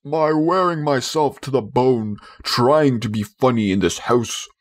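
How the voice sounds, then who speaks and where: A man's voice: mid-range voice, measured pace, close to an everyday voice. A man voicing a blustering, self-pitying storybook father with a theatrical, indignant manner. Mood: bitter, self-pitying. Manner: theatrical, indignant, wounded pride.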